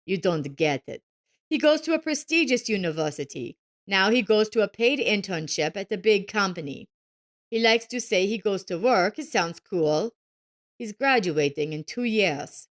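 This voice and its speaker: A woman's voice: medium pitch, expressive, normal speed, natural voice. A woman voicing a reserved, grudging middle-aged father with a terse, unimpressed manner in an audio story. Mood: grudging, restrained.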